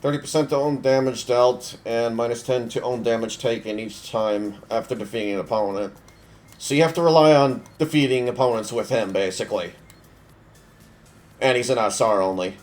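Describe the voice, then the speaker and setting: A young man with a cold, his voice stuffy, giving relaxed, offhand commentary while playing a mobile game. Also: mid-range voice, moderate pace. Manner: relaxed, offhand, thinking aloud. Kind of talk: casual gameplay commentary.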